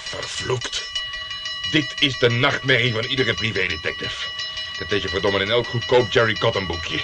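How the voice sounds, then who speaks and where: Actor playing a low-voiced, swaggering tough-guy detective. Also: normal speed, over loud music, close to an everyday voice. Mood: bitter. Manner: swaggering, colloquial, swearing. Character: tough, boastful male private detective, gruff and swaggering. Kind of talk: Dutch radio play acting.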